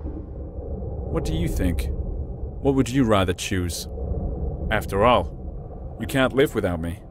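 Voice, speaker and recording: An actor playing a world-weary soul in a video game, speaking in a grave, deliberate, brooding way. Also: male voice, animated. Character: world-weary male soul choosing to be reborn. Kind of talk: dramatic video game acting. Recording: low rumbling noise behind voice.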